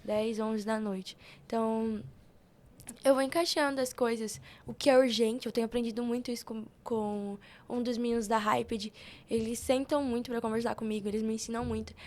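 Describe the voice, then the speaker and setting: A woman's voice: a little high, fairly even intonation, normal speed, darkish tone. A young woman with a Brazilian Portuguese accent, speaking casually and emphatically as a podcast guest, with slangy repetition. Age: young adult. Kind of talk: casual, chatty interview answers.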